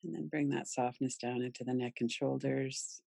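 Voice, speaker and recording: A woman leading a guided relaxation meditation in a soothing, gentle, unhurried manner with pauses. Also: very deep voice, fairly even intonation, vocal fry, no room sound. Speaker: yoga nidra meditation guide.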